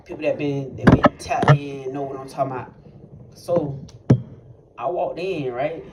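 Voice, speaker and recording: A man's voice: medium pitch, measured pace. A young man speaking tells a personal testimony in casual, rambling, profane talk, full of fillers and restarts. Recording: noisy recording with clicks.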